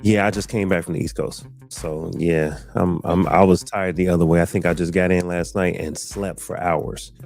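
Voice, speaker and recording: Laid-back low-voiced male podcast host. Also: steady tone, moderate pace, creaky, hardly any pauses, darkish tone, soft background music. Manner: laid-back, laughs often, repeats himself. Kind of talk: casual podcast chat.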